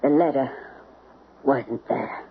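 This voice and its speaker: An actor playing a nervous, evasive servant, hesitant and stammering, in an old-time radio drama. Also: male voice, mid-range voice, takes it slowly. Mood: guilty, subdued. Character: nervous, evasive servant afraid of his boss. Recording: tinny, hissy old radio recording.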